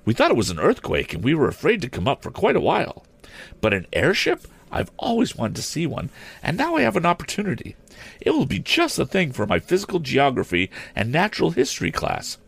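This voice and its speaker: A man's voice: fairly high pitch, huge pitch swings, a little fast, vocal fry, husky, pitched above the performer's own voice, heavily acted. A man voicing a cheerful, keen young woman schoolteacher with a gushing, lecturing manner. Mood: excited.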